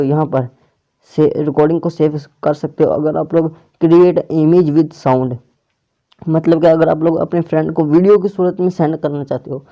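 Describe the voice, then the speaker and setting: A man's voice: a little high, mellow. A man presenting a casual step-by-step app tutorial, often repeating and rephrasing his instructions. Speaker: tutorial host. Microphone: clip-on or plugged-in microphone. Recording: dull, muffled lo-fi microphone.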